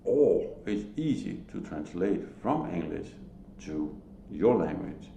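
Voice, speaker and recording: A man from Denmark with a Danish accent, giving a solo religious talk to camera in a halting, emphatic way. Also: low voice, big pitch swings, creaky, warm tone, reverberant, dull audio, a little hiss or hum. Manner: slow, halting, emphatic, with short laughs. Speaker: lay preacher.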